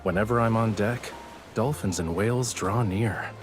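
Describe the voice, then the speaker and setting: A man's voice: on the low side, normal speed, close to an everyday voice. A man playing a teasing, pouty and smug young love interest in a romantic audio drama. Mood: reflective. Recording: music under the voice. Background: background music.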